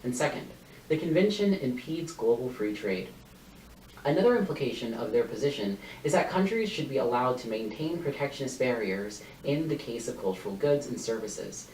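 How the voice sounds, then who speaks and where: A man's voice: mid-range voice, lively intonation, normal speed, a little mellow. A young adult man delivering a formal, argumentative competitive debate speech, reading prepared points and quoted evidence. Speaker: university debater. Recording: roomy, distant mic, faint noise.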